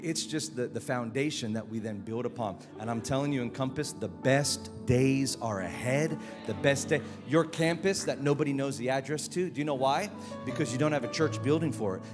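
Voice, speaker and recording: An energetic man with an American accent delivers a sermon full of jokes, tripping over and repeating his words as he goes. His voice carries a long hall reverb, and music plays clearly beneath him. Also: medium pitch. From Philippines. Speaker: guest pastor.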